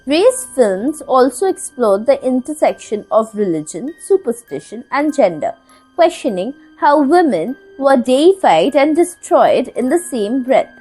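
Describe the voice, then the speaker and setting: A woman with a fairly high voice and very lively intonation delivers a scripted video essay. Her phrasing is literary and measured, with deliberate dramatic pauses. Music plays under the voice-over. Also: slightly rough, poor audio. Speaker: video essay narrator, podcast host.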